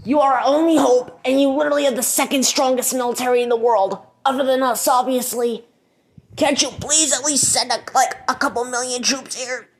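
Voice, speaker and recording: A high-voiced, impatient president of the United States played by a woman in an amateur audio drama. The voice creaks noticeably, and the figure is bossy and pressed for time. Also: steady tone, brisk. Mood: urgent, pleading. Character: impatient, pleading male American president.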